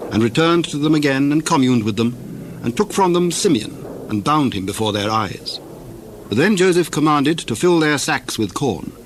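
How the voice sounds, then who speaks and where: Solemn male scripture narrator, medium pitch. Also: a little hiss or hum. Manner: solemn, measured.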